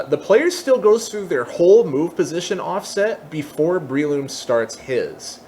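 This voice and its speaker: A man presenting an informal software tutorial, thinking aloud and openly admitting when he is unsure of details. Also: mid-range voice, slight background noise.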